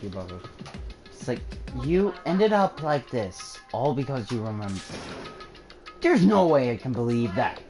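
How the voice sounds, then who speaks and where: A woman's voice: rumbling low, very animated, unhurried, pauses often, warm tone. A woman streamer reading game dialogue aloud and bantering with friends, exasperated and swearing often. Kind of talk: casual livestream game read-along. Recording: game music playing behind.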